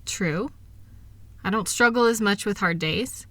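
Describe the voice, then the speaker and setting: A kind, reassuring wife played by a woman speaks to her partner in an intimate audio roleplay. Her voice is clearly bright and sits at an average pitch for a woman. She is gentle, earnest and encouraging, in a very dry recording without room sound. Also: a little slow, dry recording. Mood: understanding, reassuring. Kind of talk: intimate scripted roleplay audio, one-sided conversation.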